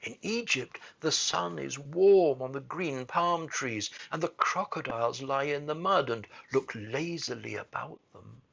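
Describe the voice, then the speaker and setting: A man voicing a devoted, gentle male swallow in a storybook reading, speaking earnestly and pleading softly. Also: mid-range voice, unhurried, creaky, few pauses, husky, highs cut off, full character voice. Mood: longing.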